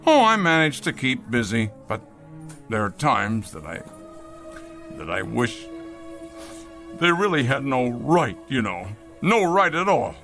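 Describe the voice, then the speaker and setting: An actor playing a pompous, bitter ousted company boss in a science-fiction drama, neurotic, halting and imperious. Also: male voice, medium pitch, sing-song, a bit bright, soft background music. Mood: wounded, resentful. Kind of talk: classic science-fiction radio drama acting.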